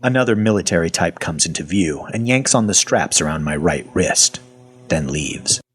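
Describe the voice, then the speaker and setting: Guy acting weary sarcastic captive narrator, deep husky voice, swings around a lot, deadpan clipped lines, audio horror story. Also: moderate pace. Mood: wry narration. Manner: deadpan, wry, clipped phrases. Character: weary, sardonic male zombie patient narrating his captivity. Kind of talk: first-person audio fiction narration.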